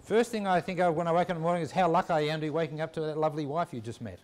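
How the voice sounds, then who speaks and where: A middle-aged man with an Australian accent giving measured, unscripted interview answers with some hesitant phrasing. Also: on the high side, a little mellow. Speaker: business school dean, interviewee. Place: walking through a university building. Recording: echoey indoor hallway.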